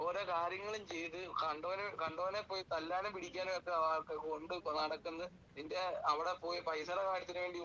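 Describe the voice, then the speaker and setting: A man with a Kerala Malayalam accent argues in a sharp, forceful, high voice. He questions insistently and repeats himself as he scolds, on a lo-fi phone recording. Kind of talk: heated phone conversation, questioning. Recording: dull lo-fi phone call, faint noise.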